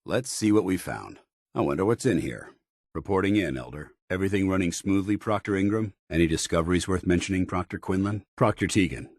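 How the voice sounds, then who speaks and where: An actor playing a stern, disciplined middle-aged soldier in power armor, speaking formal, clipped military lines for a video game. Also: male voice, low voice, fairly quick, darkish tone, no echo, clean studio sound. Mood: businesslike. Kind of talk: scripted video game dialogue.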